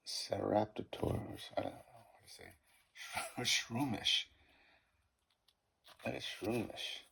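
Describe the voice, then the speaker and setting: Gravelly low-voiced Boston man. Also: slight background noise. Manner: rambling, self-deprecating, fumbles card names. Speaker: card-opening vlogger. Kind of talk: casual pack-opening commentary.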